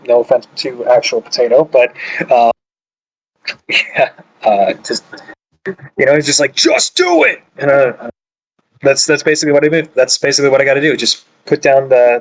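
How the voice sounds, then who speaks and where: A man's voice: medium pitch, low-quality recording. A man speaking as a podcast guest, hesitant and self-mocking, with frequent ums and restarts. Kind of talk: casual group chat.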